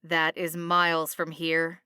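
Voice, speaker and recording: A woman's voice: low-pitched, monotone, slow pace, bright, forceful tone, clean tone, no echo, clean studio sound, heavily acted. A woman voicing a thin, authoritative male military captain in a storybook, sceptical, clipped and sardonic. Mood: dry, sceptical.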